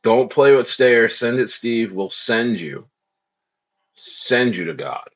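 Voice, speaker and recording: A man's voice: mid-range voice, expressive, slow pace, lo-fi sound. A man hosting a casual crypto livestream, speaking loosely and repeating phrases as he reads the chat.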